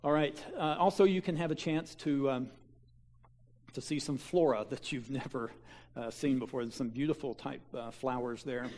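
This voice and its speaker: A man's voice: mid-range voice, steady tone, moderate pace, a little mellow. A man, a pastor giving a folksy mission-trip slideshow talk to his church, with lots of fillers and restarts. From Kansas. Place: church sanctuary.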